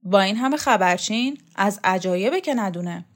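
A woman doing a gruff, scornful tough guy. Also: mid-range voice, lively intonation, natural voice. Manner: coarse, scolding, swears freely. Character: tough, blunt middle-aged male strongman, scornful and gruff.

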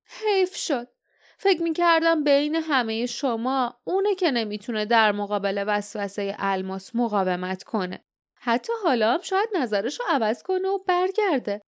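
Lady doing a smug villain guy for an audiobook, pitched way up, languid and mocking, clean smooth sound. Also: animated, dry recording, clean studio sound, natural voice. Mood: disdainful, sighing. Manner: languid, mocking, drawn-out menace. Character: sly, contemptuous bearded male guardian villain, theatrically smug. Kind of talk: dramatised audiobook storytelling.

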